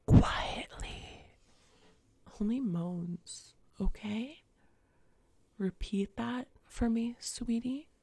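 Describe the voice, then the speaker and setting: A young adult woman in an intimate roleplay, speaking to the listener in a soft, teasing, coaxing way with frequent whispers. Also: medium pitch, gravelly, no room sound. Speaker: audio roleplay performer.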